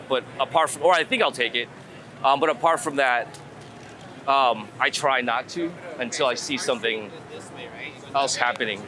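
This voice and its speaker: A man with a bright, forward voice explains things casually, thinking aloud and circling back on his phrases. He is recorded outdoors on a city street, with light traffic noise around him. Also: mid-range voice. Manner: rambling, informal, thinking aloud with repeated phrases. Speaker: guest street photographer. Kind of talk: casual conversational explanation while out shooting.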